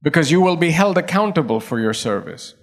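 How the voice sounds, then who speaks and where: High-voiced preaching man. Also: expressive, brisk. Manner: preaching with rising emphasis and repetition. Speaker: pastor. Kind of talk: sermon.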